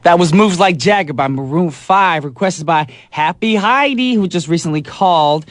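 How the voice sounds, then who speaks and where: A man's voice: sits high, expressive, moderate pace, a bit bright, no echo, clean studio sound. A man hosting a call-in radio show, enthusiastic and chatty, saying "awesome" a lot. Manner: enthusiastic, repeats "awesome" and "alrighty". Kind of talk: upbeat radio call-in chat. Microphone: studio microphone.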